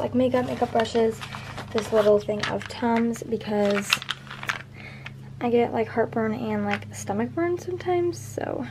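Chatty teen girl rambling to camera. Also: a little high. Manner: rambling, filler-heavy, chatty. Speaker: vlogger. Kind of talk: casual vlog chat. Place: bedroom, indoors. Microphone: handheld camera at arm's length. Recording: noisy home recording.

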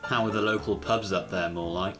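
A man's voice: fairly low pitch, moderate pace, music in the background, lightly acted. A man voicing a chatty, teasing male steam engine. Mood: gossipy teasing.

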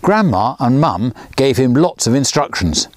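Animated man reading a storybook. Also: unhurried, no echo. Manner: lively storyteller, lifts pitch for characters' lines. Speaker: children's author reading his book. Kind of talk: storybook read-aloud. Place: outdoors beside a miniature railway.

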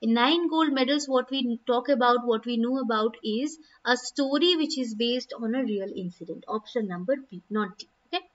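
A young woman with a high voice teaches a live online literature class from a small room at home. She explains each answer patiently and calls on her students by name. The sound is dull and a little distant, picked up by a laptop mic. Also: moderate pace, hardly any pauses. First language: not Hindi. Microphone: laptop webcam mic.

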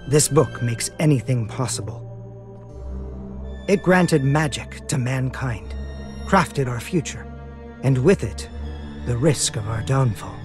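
An actor voicing a brooding, soft-spoken young male wizard in a game, measured, hesitant and formally polite. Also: medium pitch, close to an everyday voice. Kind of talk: dramatic English anime-style game dub. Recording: music behind the voice.

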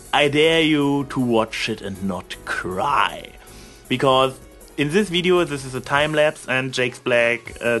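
A man's voice: mid-range voice, a bit bright. Casually rambling man, emphatic, over music. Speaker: vlogger.

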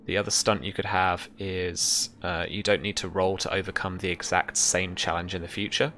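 Deep, bright-voiced young man running a game. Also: little pitch movement, normal speed. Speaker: game master. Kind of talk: casual tabletop game narration and rules explanation. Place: indoor room at home, over video call. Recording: faint background noise.

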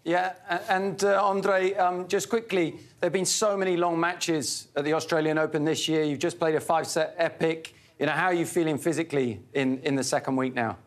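A man on a live sports panel, chatty and quick with interjections, asking a guest questions from an open-air studio set. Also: a little high, fairly even intonation. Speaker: studio pundit.

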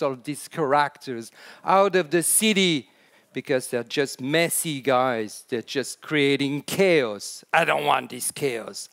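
A man's voice: fairly high pitch, a bit bright. A man with a French accent briefly voicing a proud, order-loving little lord as he retells a cartoon film.

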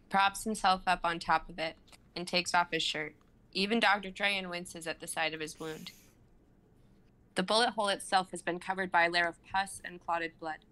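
Young woman reading out script directions, bright forward voice, kinda low for a girl. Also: rough recording. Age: 20s. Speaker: narrator reading stage directions. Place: home room on a group video call.

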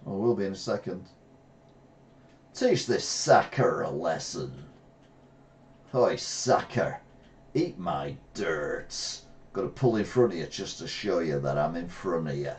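A middle-aged English man streaming a retro racing game, reacting excitably and trash-talking rivals from a gaming chair at home. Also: low-pitched, unhurried. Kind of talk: live gameplay commentary. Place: small room at home, seated in gaming chair.

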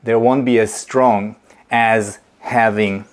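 A middle-aged man, teaching slowly and deliberately while he demonstrates in a home kitchen. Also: on the low side, fairly even intonation, a little hiss or hum. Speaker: movement teacher. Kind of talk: instructional demonstration.

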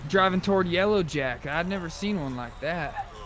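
A man's voice: high-pitched, animated, normal speed, a bit bright, slight background noise. A man chatting casually in character during an online roleplay game. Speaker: roleplay gamer.

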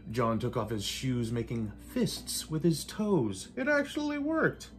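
An older man reads a rhymed picture book aloud in a living room, with measured, theatrical delivery and playful emphasis. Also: medium pitch, huge pitch swings, faint music behind. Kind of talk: rhymed storybook read-aloud. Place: indoors in a home living room. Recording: light room noise.

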